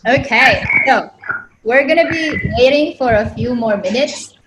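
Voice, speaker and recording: A woman's voice: fairly high pitch, measured pace, noisy background. A woman from the Philippines in her forties, leading an online workshop for teachers over a video call in an upbeat way, often saying "okay". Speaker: webinar presenter.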